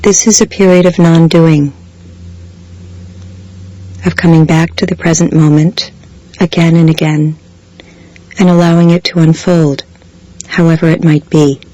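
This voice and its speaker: A woman guiding a body scan meditation in a slow, measured way, leaving long pauses between phrases. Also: deep voice, steady tone, warm tone. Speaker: meditation guide. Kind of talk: guided body scan meditation, scripted soothing instruction.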